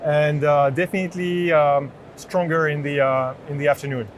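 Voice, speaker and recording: A man in his thirties with a French accent giving an unscripted product demo in a halting way. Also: a little high, steady tone. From France. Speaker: chief product officer demonstrating a product. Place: open booth in large indoor exhibition hall. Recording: noisy trade show hall background.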